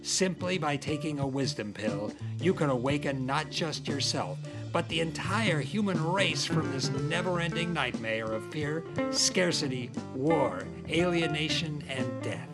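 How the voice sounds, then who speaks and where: A man playing a grandiose 1960s LSD guru and professor, speaking in theatrical, preacher-like oratory with dramatic pauses. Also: fairly high pitch, animated, loud music behind the voice, voice pushed up. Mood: rousing, messianic.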